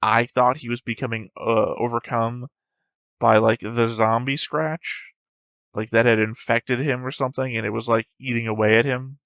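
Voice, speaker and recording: A man's voice: medium pitch, flat delivery, moderate pace, vocal fry, a bit bright, no echo. A man giving off-the-cuff reaction commentary as a show host, thinking aloud and posing rhetorical questions in a musing way.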